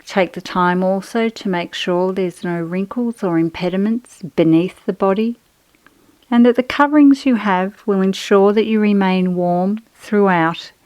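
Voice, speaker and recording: A woman guiding a relaxation meditation in a soothing, measured, instructional manner with pauses between phrases. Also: medium pitch, a little mellow, clear, resonant tone, no room sound. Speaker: yoga nidra guide.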